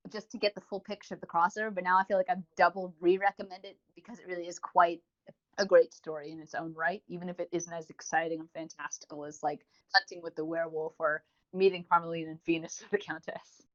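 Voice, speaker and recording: A woman podcast co-host chats very quickly in a casual, rambling, enthusiastic way. Her voice sits a little low for a woman. The recording is somewhat dull and lo-fi. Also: steady tone, fairly bright tone. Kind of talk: casual review chat. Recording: dull lo-fi audio.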